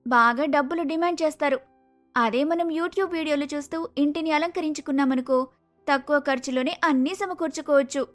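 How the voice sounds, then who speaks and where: A woman's voice: high-pitched, fairly quick, soft background music, natural voice. A woman voicing an earnest, misunderstood younger sister who is a college student, sincere and pleading, in a cartoon story. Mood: eager, questioning. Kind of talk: acted cartoon moral story.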